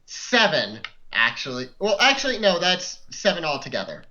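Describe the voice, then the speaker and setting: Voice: medium pitch, sing-song, normal speed, a loud crowd. A player chatting casually and asking rules questions during a tabletop roleplaying game, on a lo-fi recording.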